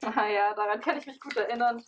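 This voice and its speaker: A woman presenting as a scientist in an educational film, explaining things in semi-scripted dialogue. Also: a little high. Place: laboratory. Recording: faint background noise with clicks.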